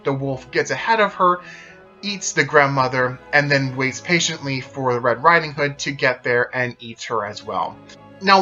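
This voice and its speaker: A man presenting a video, retelling stories in a steady explanatory way as scripted narration. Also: on the high side, highs cut off.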